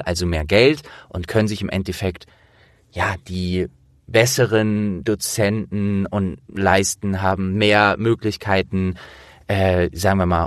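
A man's voice: fairly low pitch, fairly bright tone, no room sound, clean studio sound. A man hosting an interview, explaining things informally and thinking aloud as he goes. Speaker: interviewer and host. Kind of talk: casual conversational explaining.

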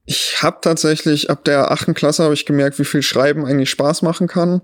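A man in his thirties with a Rhineland German accent, a journalist answering podcast questions in a relaxed, thoughtful way with frequent ums. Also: fairly high pitch, a little fast. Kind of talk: podcast interview.

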